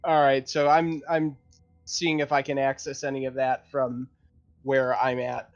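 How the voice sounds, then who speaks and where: A man talking casually and haltingly as a player in a tabletop roleplaying game. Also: mid-range voice, little pitch movement. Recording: dull, lo-fi sound.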